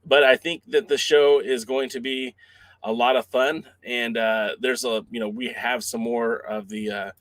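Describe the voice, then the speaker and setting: Hoarse man rambling through wrestling news. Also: mid-range voice, fairly even intonation, no room sound. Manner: halting, many fillers and restarts. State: losing his voice, clearing throat. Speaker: podcast host. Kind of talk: casual wrestling news podcast.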